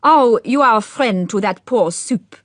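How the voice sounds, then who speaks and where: A woman's voice: on the high side, animated, unhurried, dry recording, clean studio sound, pitched above the performer's own voice. A woman voicing an elderly, greedy French duchess whose accent mixes French and Cockney, curt and distracted by her food. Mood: offhand. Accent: mix of French and Cockney.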